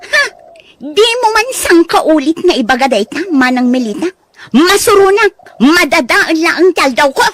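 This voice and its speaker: A woman's voice: high-pitched, big pitch swings, moderate pace, slight background noise. A woman playing a snobbish, snappish young sister in an Ilocano audio drama, with an Ilocano accent. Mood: sulky, dismissive. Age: young adult. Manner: snobbish, dismissive. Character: haughty, snappish young college-graduate sister, scornful of suitor.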